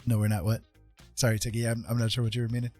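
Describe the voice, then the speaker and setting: A man in his fifties chatting casually on a livestream while drawing, in a friendly, rambling way, thinking out loud. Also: mid-range voice, monotone, rapid-fire, faint music behind. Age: 50s. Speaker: art livestream host.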